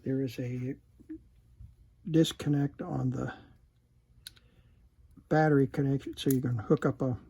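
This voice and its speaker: A man with a mellow, somewhat raspy voice gives casual hands-on repair commentary. He speaks slowly and haltingly. He often drops into a mutter, as if talking to himself while he works. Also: mid-range voice, fairly even intonation. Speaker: host demonstrating electronics repair.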